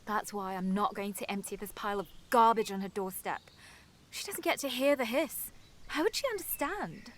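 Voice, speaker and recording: A woman's voice: medium pitch, animated, moderate pace, lightly acted. An actress playing a guarded, wounded and sardonic woman in a naturalistic radio soap. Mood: bitter, hurt. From Sussex, England.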